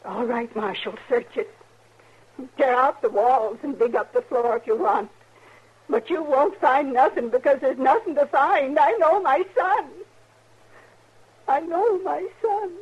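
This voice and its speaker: An actress playing a proud, embittered older frontier mother in a 1950s radio western, husky and emphatic, with a folksy rural American accent. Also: high voice, unhurried. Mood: indignant, defiant. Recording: dull, telephone-like 1950s radio recording.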